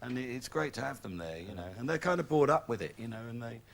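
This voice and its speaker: A man's voice: mid-range voice, expressive, fast, vocal fry. A man with an English accent, a rock musician guest chatting easily and telling self-mocking stories. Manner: easygoing, self-mocking anecdotes. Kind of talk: casual TV interview chat.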